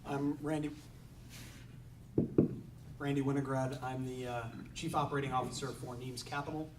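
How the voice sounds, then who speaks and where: Male panelist, matter-of-fact delivery, monotone, recorded at a distance over room noise. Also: mid-range voice, normal speed. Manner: steady, businesslike, some fillers. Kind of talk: panel discussion. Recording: distant mic, noisy room.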